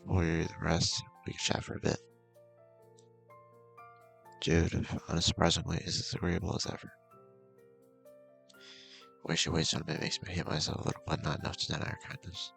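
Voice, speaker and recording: A man doing a casual let's-play, reading a visual novel aloud in a halting, mumbling way with asides, over game music. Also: low-pitched, level tone, gravelly, a bit bright. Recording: music playing behind the voice.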